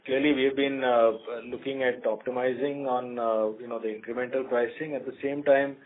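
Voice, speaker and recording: A man with an Indian accent answering analysts as a company executive, speaking off the cuff in a halting way and repeating words. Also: mid-range voice, fairly even intonation. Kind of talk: unscripted earnings call answers. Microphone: telephone conference call. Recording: narrow, tinny phone conference line.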